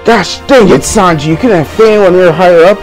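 A man voicing a brash, dim-witted young pirate captain in an anime parody dub, excitable and blunt. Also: sits high, fast, soft background music, natural voice.

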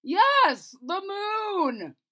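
A woman voicing a demanding old king in a storybook, loud and commanding, pitched high above her own voice. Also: sing-song, very slow, heavily acted.

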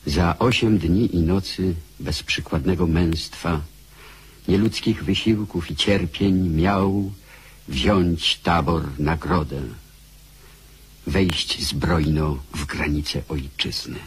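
A man with a very husky voice narrating a radio play as a grave chronicler. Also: low voice, fairly even intonation, slow pace, heavily acted.

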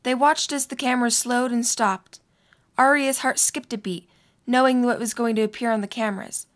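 A woman's voice: fairly high pitch, steady tone, normal speed. A woman reading scripted story narration as the narrator of an audio drama.

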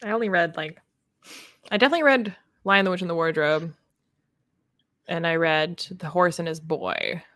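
A woman's voice: on the low side, animated, normal speed, long pauses, a bit bright, no room sound, clean studio sound. A young woman chatting casually about books on a livestream, with a wry, informal way of telling things. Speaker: book discussion co-host. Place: small book-lined room at home, indoors.

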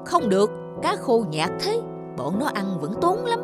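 A woman voicing a greedy, stingy old male landlord in a cartoon, gruff and bossy. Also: on the high side, huge pitch swings, unhurried. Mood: scolding. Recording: studio voice with loud music.

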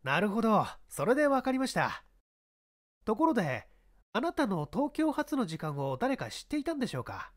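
Man as a polite, probing police inspector, expressive. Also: high voice, moderate pace, voice pushed up. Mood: politely probing. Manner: courteous, inquisitive. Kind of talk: radio-drama style mystery reading.

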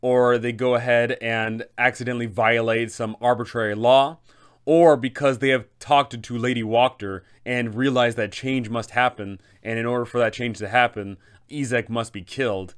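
A man in his thirties hosting a tabletop game guide, telling the story in an emphatic, deliberate way. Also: medium pitch, steady tone, clean tone. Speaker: host and game master giving advice.

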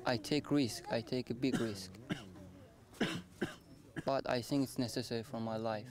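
A young man speaking hesitant, halting English outdoors on a rocky shore, recorded on a camera mic. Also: mid-range voice, steady tone. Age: young adult. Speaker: migrant interviewee. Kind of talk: filmed on the spot, hesitant. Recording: faint outdoor noise by the sea.

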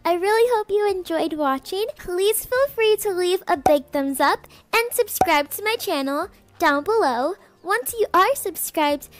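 Very high-voiced woman narrating. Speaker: video creator and narrator. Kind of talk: scripted cartoon roleplay narration.

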